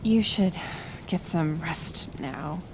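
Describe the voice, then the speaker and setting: A woman playing a kind, stubborn healer of the woods in an intimate roleplay audio, speaking softly and hesitantly, with soothing pauses. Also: low voice, background noise. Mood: protective, hesitant. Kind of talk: roleplay audio acting, intimate one-sided dialogue.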